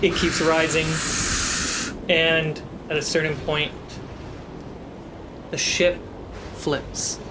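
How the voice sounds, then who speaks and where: A game master narrating a tabletop adventure dramatically, with deliberate, suspenseful pauses. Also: medium pitch, little pitch movement, background noise.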